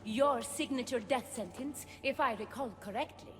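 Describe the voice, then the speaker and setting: A woman's voice: a little high, moderate pace, close to an everyday voice. An actress playing an imperious, suspicious goddess queen of the underworld in a video game, with a commanding, cutting, theatrical delivery. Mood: cold, suspicious. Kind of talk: dramatic video game acting. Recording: long hall reverb, music behind.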